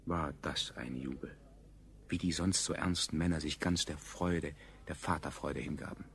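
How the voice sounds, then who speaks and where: An actor playing a dry, ironic storyteller narrating a science-fiction radio play in a husky voice. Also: male voice, a bass voice, fairly even intonation, normal speed, fairly bright tone, heavily acted.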